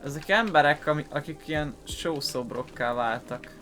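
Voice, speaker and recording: Higher-pitched man doing casual gaming commentary. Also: moderate pace, bright, forceful tone. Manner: informal, slangy. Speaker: gaming video host. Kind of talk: casual let's-play commentary. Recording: game music behind commentary. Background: video game music.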